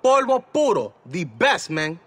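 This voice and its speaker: A man's voice: high-pitched, big pitch swings, measured pace, a bit bright, breathy edge, full character voice. An actor playing a boastful male drug seller who drops Spanish slang in a video game. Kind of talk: video game cutscene acting.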